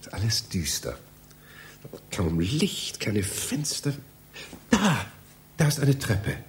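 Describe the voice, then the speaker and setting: A man's voice: on the low side, very animated, slow pace, exaggerated voice. An actor in a radio play playing a fussy, bewildered male library reader who talks in a theatrical, pedantic way. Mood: muttering, bewildered. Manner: theatrical, pedantic, muttering to himself.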